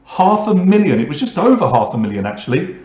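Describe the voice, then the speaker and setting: A man's voice: a little high, very animated, fast, a little mellow, echoey room, lo-fi sound. Joking man hosting an online pub quiz. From England. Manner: reads questions and scores, joking asides to players. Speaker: quiz host.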